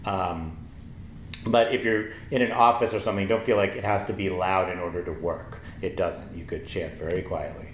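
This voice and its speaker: Low-voiced man teaching yoga calmly. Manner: slow, gentle, thoughtful, with many ums. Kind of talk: calm spoken yoga lesson with chanting. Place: small wooden-floored room with paper screen, indoors. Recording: echoey room, faint ambient noise.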